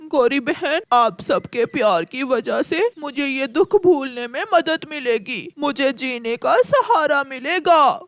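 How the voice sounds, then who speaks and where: A woman's voice: high-pitched, animated, slow pace, few pauses, no room sound. A woman voicing a grieving, polite young male pigeon in a cartoon, earnest and plaintive. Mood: grateful, subdued.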